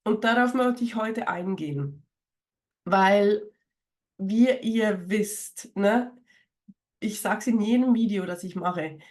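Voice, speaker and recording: A woman's voice: medium pitch, takes it slowly, darkish tone. Woman with a cold talking to her viewers. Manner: rambling, many fillers and self-corrections; speaks directly to viewers. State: hoarse from a cold. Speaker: spiritual coach and channel host. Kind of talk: casual talk to camera.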